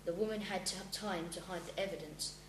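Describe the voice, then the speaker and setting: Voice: medium pitch, a little fast, close to an everyday voice. A school pupil playing a stern, self-assured male police inspector in an amateur radio play, with stumbling delivery. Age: child, about 12 to 13. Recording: echoey room, clear hum.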